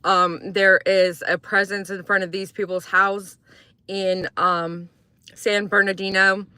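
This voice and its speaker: Young woman from croatia, rambling true-crime stream host, bright crisp voice, dry close sound, chats with viewers. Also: medium pitch, flat delivery, slow pace, dry recording. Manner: chatty, rambling, reads and answers chat. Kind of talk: casual morning livestream commentary.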